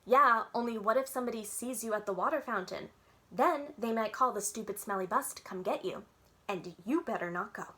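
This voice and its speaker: A woman's voice: on the high side, a little fast, bright, forceful tone. A woman voicing a bossy, chatty little kindergarten girl from a children's storybook, with blunt, emphatic childish phrasing. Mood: stubborn, arguing. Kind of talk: children's storybook read aloud in character.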